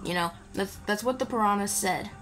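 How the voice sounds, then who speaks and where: Game music plays behind a woman with a mid-pitched voice. She gives casual, off-the-cuff gameplay commentary. Her manner is rambling and playful, as if chatting with both viewers and the characters. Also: a little fast, a bit bright, low-quality recording. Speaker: gaming video creator. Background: video game music.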